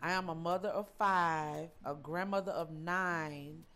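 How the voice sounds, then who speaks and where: A woman in her forties tells her life story in an interview, her voice sitting on the lower side. She stops and starts, circles back and repeats herself, filling gaps with ums. Faint music plays behind her. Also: steady tone, measured pace, fairly bright tone. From Georgia, US. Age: 40s. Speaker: interview guest.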